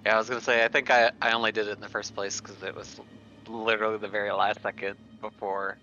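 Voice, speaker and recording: Male tabletop player, casual chat, sharp bright tone, muffled noisy audio. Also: on the low side, flat delivery, fast talker, faint music behind.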